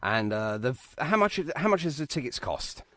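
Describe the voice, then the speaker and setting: A man's voice: mid-range voice, very animated, normal speed, sharp tone, no room sound, studio quality. An English man hosting a live radio show, bantering and interviewing in an effusive, jokey, matey way.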